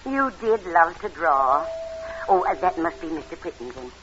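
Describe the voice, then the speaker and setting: An actress playing a fond, fluttery, sentimental elderly invalid aunt in a 1940s radio drama. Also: female voice, lowish voice, animated, unhurried. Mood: fond, delighted. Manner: fond, fluttery, gently fussing. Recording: dull old radio recording, faint noise.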